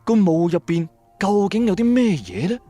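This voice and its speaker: A man narrating a radio drama in the first person, colloquial, wry and expressive. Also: high-pitched, normal speed, soft background music. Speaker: storyteller, narrator.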